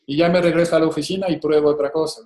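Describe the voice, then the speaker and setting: A man's voice: a little high, flat delivery, very fast, a little mellow, echoey room, a little hiss or hum. A man speaking as a webinar guest over a video call, talking casually and hesitantly with many fillers and restarts. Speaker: company CEO, webinar guest. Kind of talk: casual conversational talk.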